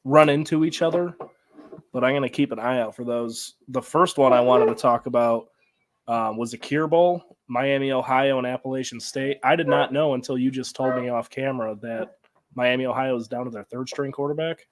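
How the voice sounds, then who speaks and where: A man with an American accent co-hosting a sports show, talking casually in an informal, halting way. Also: mid-range voice, steady tone.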